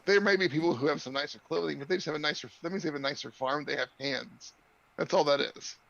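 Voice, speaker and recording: A man's voice: a little high, fast talker, vocal fry, a bit bright, lo-fi sound. A man acting as game master, improvising narration and chatting with players at a tabletop game.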